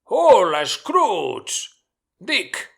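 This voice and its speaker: A jovial old merchant from a storybook, hearty and rich-voiced, played by a male narrator. He calls out loud and jolly, his voice pushed far above the narrator's own. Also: sits high, wildly swooping pitch, unhurried, husky, voice pushed up, heavily acted. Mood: jolly, booming.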